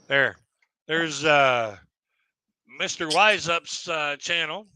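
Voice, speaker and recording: A man's voice: fairly high pitch, takes it slowly, sharp tone, slight background noise. A man hosting a casual livestream chat, rambling along with lots of "you know, like" fillers.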